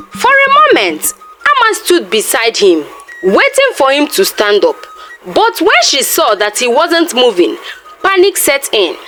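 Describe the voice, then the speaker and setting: Highly animated woman telling a folktale. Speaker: storyteller. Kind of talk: dramatized scripted folktale narration.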